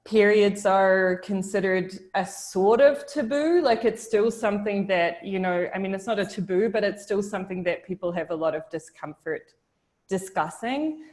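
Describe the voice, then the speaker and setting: A woman's voice: mid-range voice, moderate pace, darkish tone. A young woman from New Zealand on a video call, talking in a thoughtful and hesitant way with many restarts and fillers. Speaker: discussion participant. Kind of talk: casual video-call discussion.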